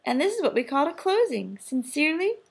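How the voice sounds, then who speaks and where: A woman's voice: high-pitched, big pitch swings, quick pace, creaky, background noise. A woman teacher reading a children's picture book aloud, expressively and with careful, instructive phrasing. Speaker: teacher demonstrating a read-aloud.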